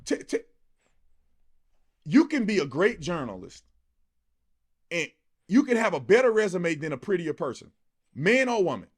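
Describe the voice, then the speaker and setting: A man's voice: sits high, sing-song, normal speed, pauses often, husky. A man giving an impassioned, colloquial opinion monologue, emphatic and repetitive, often throwing out rhetorical questions. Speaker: political commentator.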